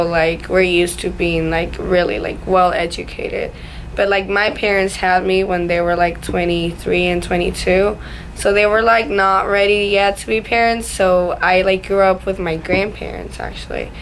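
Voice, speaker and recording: A young woman from Ecuador speaks casually at a middling pitch, often leaning on "like" as she goes. The recording has noticeable noise around her voice. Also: steady tone, moderate pace. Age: young adult. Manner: filler-heavy, frequent "like". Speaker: student interviewee. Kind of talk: casual, informal talk about family. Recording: clearly noisy room recording.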